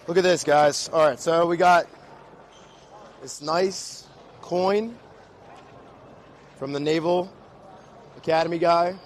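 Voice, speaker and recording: A man hosting a casual livestream, loud and hyped, talking to viewers and guests. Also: a little high, a little hiss or hum. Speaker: host.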